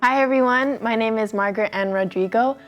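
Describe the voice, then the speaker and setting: A woman's voice: on the high side, brisk, soft background music. Chatty teenage woman, frequent ums. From Canada. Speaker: pageant titleholder guest. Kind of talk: casual interview answers.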